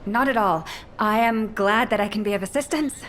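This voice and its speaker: A woman's voice: medium pitch, a little fast, a bit bright, a little hiss or hum, lightly acted. An actress playing an earnest, polite young female operative in a video game, eager and caring. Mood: earnest, respectful.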